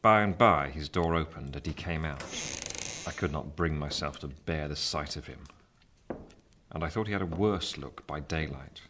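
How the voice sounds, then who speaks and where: A grave gentleman telling his story in a radio play. The voice is deep, and the first-person narration is measured and literary. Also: background noise. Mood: grave, reflective.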